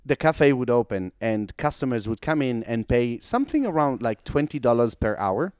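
A young man from Italy with an Italian accent, chatting as a podcast guest and telling stories in a relaxed way with many fillers. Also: mid-range voice, moderate pace, smooth, dry recording, studio quality. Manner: relaxed storytelling, frequent "like" fillers, laughs easily. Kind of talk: casual chat.

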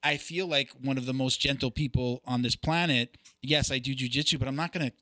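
A man's voice: medium pitch, fairly even intonation, fairly quick, sharp tone, no echo. A middle-aged man hosting a podcast, telling stories in a rambling, self-reflective way. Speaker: podcast host. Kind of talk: casual chat.

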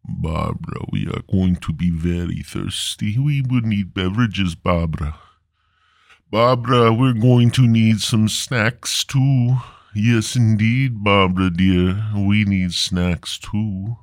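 A man hosting a solo comedy podcast, rambling casually and crudely with drawn-out, mock-grandiose theatrical delivery. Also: lowish voice, gravelly, dry recording, clean studio sound. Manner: crude, foul-mouthed. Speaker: podcast host.

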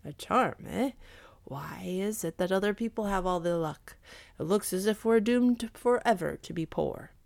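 A woman voicing a poor village husband in a storybook, envious and wary, with a grumbling, deliberate delivery. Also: mid-range voice, vocal fry, a little mellow, no room sound.

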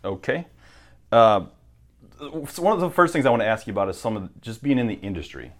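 Low, lively-pitched male interviewer, halting casual questions.

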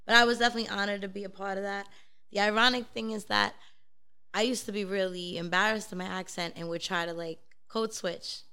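A woman from South Brooklyn with a strong Brooklyn accent, a podcast guest in casual chat, animated and streetwise, swearing freely. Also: mid-range voice, fairly quick, clear, resonant tone. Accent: Brooklyn New York. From South Brooklyn, New York.

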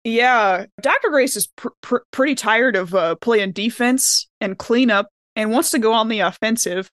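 A woman's voice: fairly high pitch, normal speed, fairly bright tone, no echo. A woman chatting casually and enthusiastically as a cast member on a round-table podcast.

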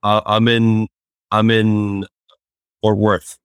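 A man being interviewed as a startup founder, answering in a halting way with fillers and restarts. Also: on the low side, monotone, clean tone, no echo, clean studio sound. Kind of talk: remote interview. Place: Fort Worth, Texas.

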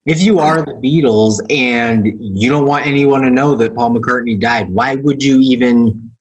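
A man's voice: medium pitch, measured pace, low-quality recording. Man with dry skeptical quips. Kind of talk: casual group discussion.

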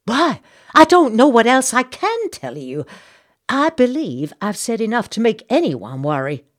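Gossipy Southern matron, high voice, animated, bossy, fretful, indignant, audiobook character. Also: female voice, unhurried, full character voice. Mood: fretful, insistent. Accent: American South. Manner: dramatic, indignant, insistent.

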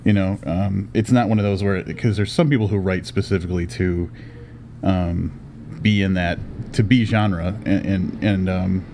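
Deep-voiced male interviewer, hesitant and searching, faint background noise. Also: steady tone, a little mellow. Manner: halting and hesitant, searching for words. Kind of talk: interview conversation.

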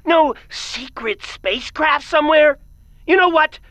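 A cranky neighbor in a sci-fi radio play. Her voice is fairly high and cutting, recorded dry. She talks in a sarcastic, colloquial way, full of scorn. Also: measured pace, fairly bright tone, no echo. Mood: scornful, irate.

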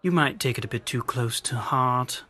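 A stiff Victorian policeman for an audio drama. His mid-pitched voice is rough and husky, and he speaks with wry, officious courtesy. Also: moderate pace, pushed and bright. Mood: stern.

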